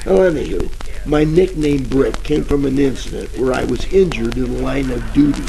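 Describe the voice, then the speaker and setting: An actor playing a bumbling, boastful private detective in a parody of 1940s radio drama, telling rambling stories in hammy slang. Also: male voice, medium pitch, moderate pace, vocal fry, dark tone, a little hiss or hum, close to an everyday voice, poor audio. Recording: old-time radio with music behind.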